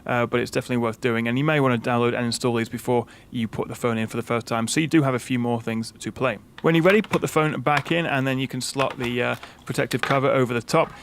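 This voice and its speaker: A man's voice: mid-range voice, fairly even intonation, fast, creaky, few pauses, slight background noise. A young British man hosting a tech channel, talking through a product setup in a chatty way, with frequent "uh" fillers.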